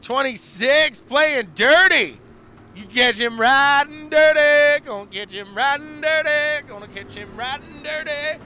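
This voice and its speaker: A man calling a live dirt-track race as the track announcer, animated and repeating phrases for emphasis. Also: very high-pitched, unhurried. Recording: loud engine and track noise. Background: race cars and outdoor track noise.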